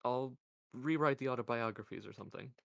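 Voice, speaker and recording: A man's voice: medium pitch, a little fast, vocal fry, no room sound, clean studio sound, voice pushed up, natural voice. A man voicing Mort, a flustered young apprentice who stammers when unsure.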